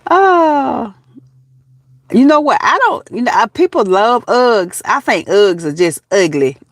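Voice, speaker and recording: A woman's voice: a little high, expressive, slow, pauses often, no room sound, clean studio sound. A woman in her fifties from Georgia with a Southern accent, chatting casually with viewers on a live stream, laughing often and calling them baby and honey. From Georgia, USA. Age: 50s.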